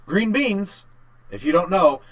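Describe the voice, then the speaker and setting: A man co-hosting a casual podcast chat, thinking aloud in short, halting bursts. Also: high voice, animated, fairly bright tone. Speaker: podcast co-host. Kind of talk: casual chat about games and work.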